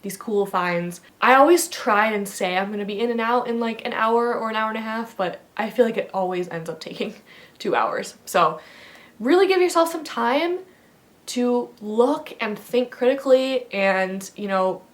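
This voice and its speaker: A woman's voice: fairly high pitch, moderate pace. A woman in her thirties from the Midwest, a fashion vlogger giving rambly, casual advice with lots of fillers, stuffy from a head cold. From American Midwest. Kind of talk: casual chatty vlog.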